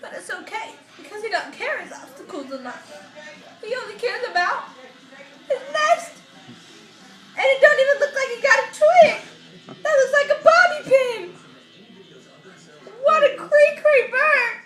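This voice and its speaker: Slow, high-pitched woman reading in theatrical style. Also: lively intonation, echoey room, over music, low-quality recording. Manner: theatrically emotional, drawn-out, rambling. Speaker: comedic storybook reader. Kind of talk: parody read-aloud of a children's picture book with ad-libbed asides.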